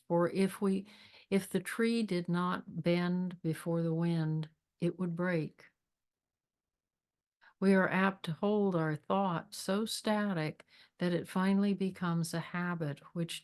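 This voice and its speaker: Slow, low-pitched woman leading meditation. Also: a little mellow. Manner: measured, deliberate, with long pauses. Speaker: meditation leader, spiritual minister. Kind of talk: guided meditation with devotional readings.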